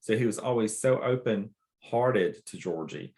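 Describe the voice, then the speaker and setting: A man's voice: on the low side, measured pace. A man talking in a book club chat, recounting film moments with enthusiasm. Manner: warm, enthusiastic about the film.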